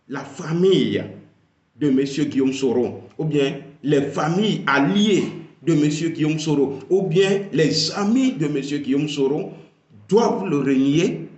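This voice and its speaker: A man analysing a video, speaking slowly and emphatically and repeating key phrases. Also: a little high, animated, a little mellow, roomy sound, muffled recording. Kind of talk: political commentary.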